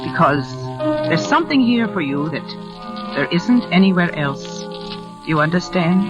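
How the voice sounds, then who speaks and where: Mysterious older woman keeping a cottage, grave yet expressive, 1940s radio play with music underneath. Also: fairly low pitch, measured pace, lo-fi sound. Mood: grave, consoling. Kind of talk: 1940s radio drama acting. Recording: vintage radio broadcast, music behind.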